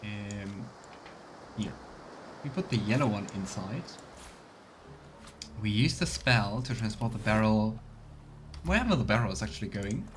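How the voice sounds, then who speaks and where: A man's voice: on the low side, lively intonation, unhurried, pauses often, background noise. A man giving casual let's play commentary, thinking aloud and murmuring to himself as he plays. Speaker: streamer playing a fantasy role-playing game. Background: game music.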